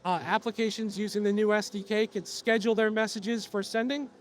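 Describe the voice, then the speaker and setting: A man with a notably high voice walks an audience through software features at a trade-show presentation. He is losing his voice and coughs now and then, but keeps a steady, explanatory pace, leaning on "uh" fillers and small restarts. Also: brisk.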